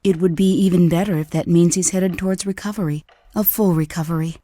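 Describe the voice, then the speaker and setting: A woman playing a caring, worried woman at a bedside in a video game, gentle and earnest. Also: lowish voice, steady tone, a little fast, no echo, close to an everyday voice. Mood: tender, hopeful. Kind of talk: video game cutscene voice acting.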